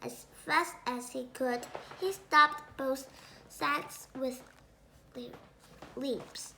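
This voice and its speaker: A high, bright young female voice reads a children's story aloud. She goes slowly and carefully, a little halting, sometimes restarting a word. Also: fairly even intonation, creaky, slight background noise. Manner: halting, word-by-word reading with self-corrections. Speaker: storybook reader.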